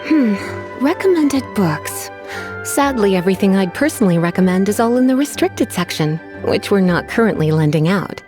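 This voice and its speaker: A woman's voice: medium pitch, lively intonation, music in the background, lightly acted. A woman playing a languid, teasing librarian in a video game, coy and polite with menace underneath. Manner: coy, sighing, drawn-out politeness. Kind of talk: English video game voice acting.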